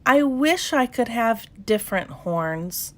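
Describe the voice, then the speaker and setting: A young goat girl from a children's storybook, played by a woman reader. She is wistful and eager, with a childlike, plaintive manner and slow, drawn-out delivery. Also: a little high, expressive.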